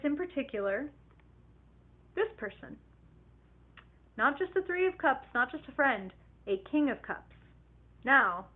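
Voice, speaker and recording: A woman reading tarot on a live stream, talking in an animated, chatty way. She swears casually and slips into little voices to play out what people tell themselves. The sound is narrow and tinny, as if it came over a phone line. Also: on the high side. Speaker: tarot reader.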